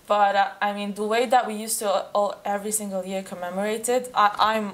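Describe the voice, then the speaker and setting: A woman's voice: mid-range voice, fairly even intonation, fairly quick, fairly bright tone. A young woman from Lebanon, a native Armenian speaker, talking earnestly as a podcast guest, often restarting as she searches for words. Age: young adult. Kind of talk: casual conversation.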